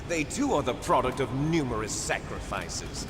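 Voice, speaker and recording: An actor playing a bitter, menacing video game villain, a clone soldier who speaks grandly and resentfully. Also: male voice, lively intonation, moderate pace, close to an everyday voice. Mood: grandiose lecturing. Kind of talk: dramatic video game voice acting. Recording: echoey hall reverb over loud rumbling noise.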